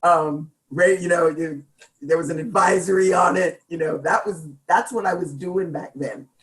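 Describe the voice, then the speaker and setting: Middle-aged woman, deep gravelly voice, relaxed and jokey interview guest. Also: normal speed, low-quality recording. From Seattle, United States. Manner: chatty, laughs often, jokes about herself. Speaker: guest singer. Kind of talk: casual interview chat.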